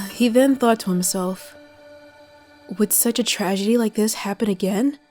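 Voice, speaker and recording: A woman's voice: medium pitch, a little slow, a little mellow, faint music behind. A woman giving dramatic scripted narration as a storyteller.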